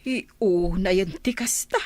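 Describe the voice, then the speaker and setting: Caring respectful confidante, ilocano radio drama, talks slow, dry sound. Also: female voice, mid-range voice, darkish tone, no room sound. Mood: concerned.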